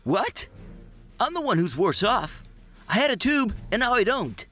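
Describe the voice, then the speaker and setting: A man's voice: sits high, very animated, measured pace, pushed and bright, soft background music, exaggerated voice. A man playing a nervy, stubborn teenage boy in a motion comic, hesitant and easily incredulous. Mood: wary.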